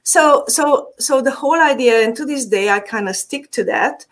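A woman's voice: high-pitched, normal speed. A woman from Transylvania with a Hungarian accent, a marketing guest chatting in an interview, with frequent fillers, restarts and easy laughter. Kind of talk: casual interview chat.

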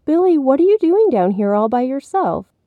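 Woman playing a gentle, soothing mother. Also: sits high, big pitch swings, moderate pace, no room sound, phone-quality sound, pitched above the performer's own voice. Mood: concerned. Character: gentle, concerned, reassuring young boy's mother.

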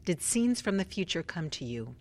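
An actress playing a formal, probing government researcher in a radio drama, with clipped, measured questioning. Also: female voice, on the low side, very animated, darkish tone. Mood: clinical, procedural. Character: controlled, probing female government researcher running a clinical survey.

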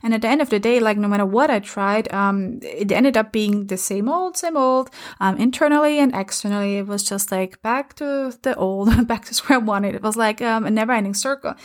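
A woman's voice: fairly high pitch, moderate pace, hardly any pauses. Upbeat Austrian woman hosting a podcast. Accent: Austrian German. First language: German. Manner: earnest, encouraging, many fillers. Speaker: podcast host and coach. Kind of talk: casual solo podcast talk. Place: home in London.

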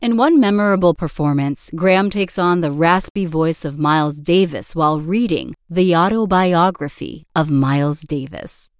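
A woman's voice: on the low side, normal speed, hardly any pauses, clean tone, no echo, clean studio sound. A woman reading scripted narration as the narrator of a podcast.

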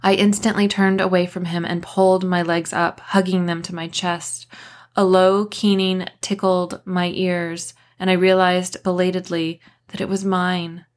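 A woman's voice: on the low side, little pitch movement, unhurried. A woman author narrating her own novel as a scripted audiobook reading.

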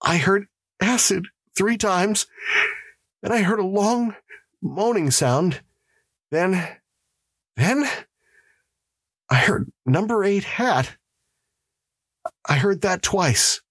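A man's voice: sits high, expressive, drawn-out words, long pauses, fairly bright tone, breathy edge, no room sound, studio quality, voice pushed up, heavily acted. A man voicing a terrified, guilt-crazed prisoner in an audiobook, gasping and pleading incoherently. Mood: frightened, confessing. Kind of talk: audiobook character acting.

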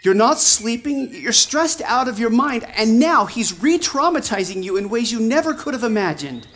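An actress playing a worried, protective old friend in an audio drama, speaking earnestly and insistently. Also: female voice, a little high, sing-song, moderate pace. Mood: insistent, exasperated. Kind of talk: fiction podcast drama acting.

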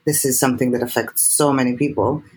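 A woman from Serbia speaks with a Serbian accent. She talks in an animated, earnest way and often repeats or restarts words as she thinks. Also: extremely low pitch, fast talker, low-quality recording. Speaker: guest filmmaker. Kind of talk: earnest interview talk.

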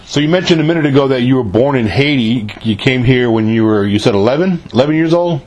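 A man's voice: medium pitch, expressive, fast, a little mellow, rough recording. Casual man hosting an interview. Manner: informal, often says "man". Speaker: show host interviewer.

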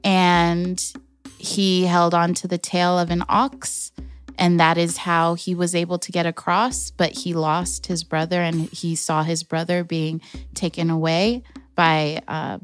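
Woman, young adult, reflective storytelling, very slow pace, clean resonant tone. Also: fairly low pitch, smooth, no echo. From Los Angeles. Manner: slow, reflective, with careful restarts. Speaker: storyteller, artist and organizer. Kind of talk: personal storytelling.

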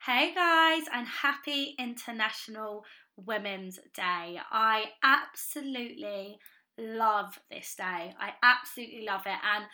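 Bright, upbeat young woman, slightly croaky. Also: on the high side. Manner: upbeat, gushing, with frequent ums and "you know". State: slightly croaky voice after a party. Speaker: podcast host and business coach. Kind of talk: casual solo podcast talk.

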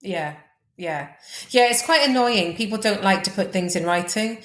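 A woman with a Welsh accent, chatting quickly as a podcast guest, full of fillers and restarts. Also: medium pitch, lively intonation, a bit bright, roomy sound. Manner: fast-talking, chatty, lots of fillers. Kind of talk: casual interview chat.

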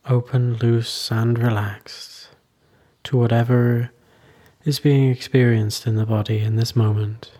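A man leading a guided meditation as a teacher, soothing and unhurried, with long pauses between short phrases. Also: medium pitch, flat delivery, dark tone, slightly rough.

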